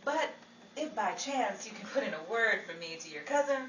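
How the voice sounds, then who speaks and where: A woman's voice: medium pitch, expressive, normal speed, lightly acted. Echoey far-off recording, actress as sassy dramatic 40s radio comedy lady, swoops all over. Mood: coy. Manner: theatrical, exclamatory, wisecracking. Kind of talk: 1940s old-time radio comedy acting. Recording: echoey, distant, noisy live room with crowd.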